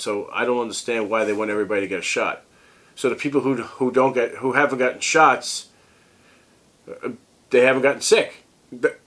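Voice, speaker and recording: A sick, congested man rambling through a casual vlog as a tech review host, halting and restarting often. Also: medium pitch, a bit bright.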